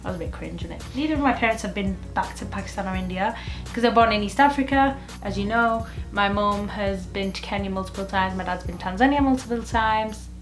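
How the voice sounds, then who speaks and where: A woman's voice: medium pitch, fast talker, faint music behind. Chatty young woman vlogging. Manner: chatty and expressive, with filler likes. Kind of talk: casual vlog chat.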